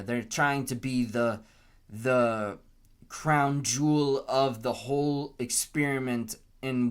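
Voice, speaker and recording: A young American man speaks very slowly at an average pitch for a man. He is earnest and deliberate, building an off-the-cuff opinion monologue piece by piece. He repeats his phrases to drive them home, like a podcast host thinking aloud. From Canton, Massachusetts, US.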